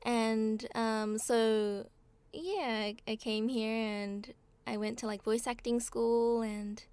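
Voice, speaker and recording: A young woman with a clear, smooth, slightly high voice chats as an interview guest. Her telling is friendly and lively, and the sound is close and dry. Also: slow pace, no room sound. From Australia. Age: young adult. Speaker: guest, voice actor. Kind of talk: casual interview chat.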